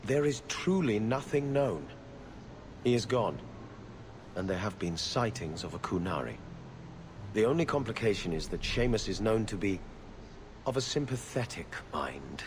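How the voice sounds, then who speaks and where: A man's voice: fairly low pitch, animated, moderate pace, pauses often, a little hiss or hum. An actor playing a weary, haughty male court steward in a video game, speaking in a formal, clipped and disdainful way. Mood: grave, disdainful. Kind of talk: scripted video game dialogue.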